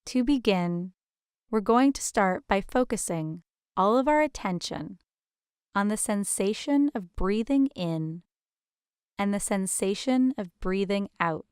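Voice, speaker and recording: A woman leads a guided meditation in a gentle, soothing, invitational manner with deliberate pauses. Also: mid-range voice, lively intonation, smooth, no room sound, studio quality.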